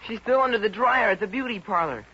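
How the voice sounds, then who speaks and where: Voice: mid-range voice, fast talker, sharp tone, slightly rough. A performer playing an earnest, blunt, slangy American schoolboy in a 1950s radio comedy. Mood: anxious, blunt. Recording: dull, lo-fi 1950 radio recording.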